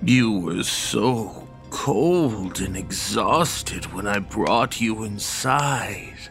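Slow, creaky, coaxing sinister spirit. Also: male voice, on the low side, expressive, a bit bright, soft background music, full character voice. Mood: lulling, coaxing. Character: soothing, sinister male spirit of a house, falsely tender. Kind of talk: horror audio drama acting.

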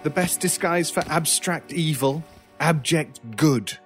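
A sinister male stranger for an old-time style horror radio drama. He is scornful and cutting, with a theatrical, deliberate delivery that taunts and persuades coldly. Music plays behind him. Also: a little high, breathy edge. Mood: scornful, exasperated.

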